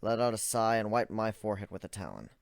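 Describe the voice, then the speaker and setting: A man narrating an amateur dramatic reading of a story in plain, steady storytelling. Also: fairly low pitch, quick pace, creaky, dry recording, studio quality.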